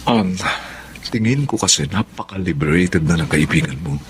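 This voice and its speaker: Low-voiced cautious Filipino husband. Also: male voice, lively intonation, normal speed, a little hiss or hum. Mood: serious, hesitant. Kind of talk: radio drama acting.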